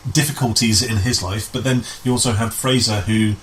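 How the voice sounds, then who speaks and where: A man telling anecdotes casually as an interview guest, in a halting way that often rewords mid-sentence. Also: fairly low pitch, steady tone, fairly bright tone, rough recording. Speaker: interview guest, amateur pantomime director. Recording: clear background noise.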